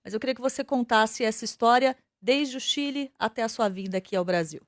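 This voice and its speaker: A woman hosts a radio interview, asking clear and measured questions. Her voice sits fairly high, and the sound is dry and close with no room echo.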